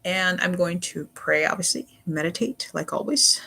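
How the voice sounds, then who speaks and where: A livestream host talking casually and earnestly in a rambling, halting way, often repeating phrases and saying "you know". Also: medium pitch, gravelly, sharp tone, husky, poor audio.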